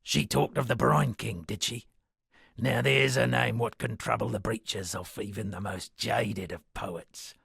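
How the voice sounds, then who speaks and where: An actor voicing an eccentric washed-up sea captain and would-be poet in a video game, gravelly and husky, with theatrical, rambling, florid delivery. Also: male voice, on the low side, fairly even intonation, unhurried, a bit bright, no echo, clean studio sound, full character voice. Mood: ominous, wry. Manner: theatrical, rambling, florid old seafaring turns of phrase. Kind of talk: video game character dialogue.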